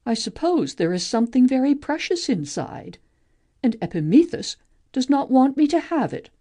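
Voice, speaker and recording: A woman acts out a restless, wondering young heroine of a mythic play. The voice is soft-edged and slightly husky, with very lively melody. She muses aloud, full of curiosity. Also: sits high, moderate pace. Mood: wondering, eager. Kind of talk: amateur audiobook play reading, expressive.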